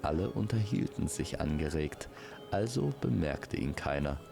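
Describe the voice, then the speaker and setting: A man's voice: rumbling low, lively intonation, moderate pace, creaky, over music. A man narrating a scripted fantasy story as a storyteller.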